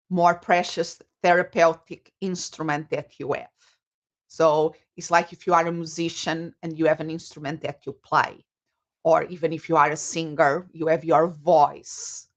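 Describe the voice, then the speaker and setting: A woman from Portugal, a psychologist and coach, answering interview questions in a reflective way with many pauses, over a video call. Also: low voice, little pitch movement.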